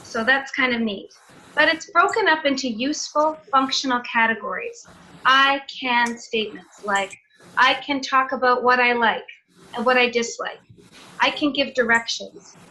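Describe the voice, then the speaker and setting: Canadian woman running a zoom teacher seminar, highish bright voice, friendly, lots of ums. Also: normal speed, rough recording. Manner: friendly, explanatory, with filler sounds. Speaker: teacher trainer. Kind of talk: online seminar presentation. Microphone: video call.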